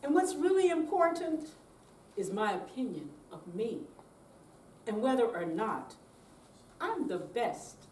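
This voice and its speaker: An older woman reads verse in a high voice at a very slow, deliberate pace. She is performing in a senior readers theater, picked up from far off in an echoey room. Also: big pitch swings, a little mellow, a little hiss or hum. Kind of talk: slow dramatic reading in live performance. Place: senior center room, mic at a distance.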